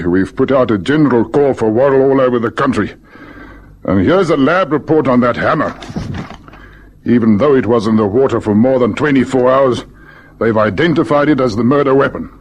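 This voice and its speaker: An actor playing an authoritative, matter-of-fact police brigadier in a vintage radio crime drama. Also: male voice, medium pitch, moderate pace, muffled recording, natural voice.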